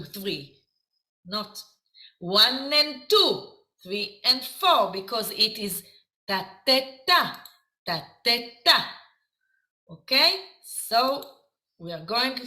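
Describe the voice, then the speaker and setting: A woman with an Israeli accent teaching a dance class, explaining counts slowly and haltingly. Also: on the high side, bright, forceful tone. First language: Hebrew. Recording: echoey room.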